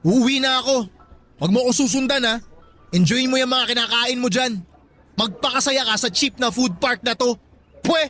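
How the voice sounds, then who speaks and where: An actor playing a sarcastic, easily irritated young boyfriend in a radio drama, mocking and petulant. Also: male voice, extremely high pitch, moderate pace, pushed and bright, faint music behind. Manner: mocking, petulant, mimics his partner.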